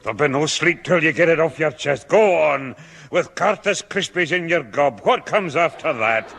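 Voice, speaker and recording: A man's voice: fairly high pitch, expressive, moderate pace, fairly bright tone, slight background noise. A man playing a grumpy, exasperated old grandfather in a 1960s radio comedy, blustering and indignant. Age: older. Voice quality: gravelly, husky. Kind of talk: 1960s radio sitcom acting.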